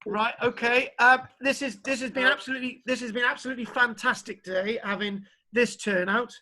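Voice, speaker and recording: A man's voice: extremely high pitch, steady tone, a little fast, sharp tone. A man lecturing an online archaeology class, chatty and jokey, rambling a little as he talks. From Britain. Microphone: video call.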